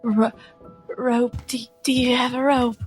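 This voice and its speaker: A woman playing a timid, shaken young female character in a tabletop game, halting and stammering. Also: on the high side, flat delivery, soft background music, voice pushed up, close to an everyday voice. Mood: dazed, timid.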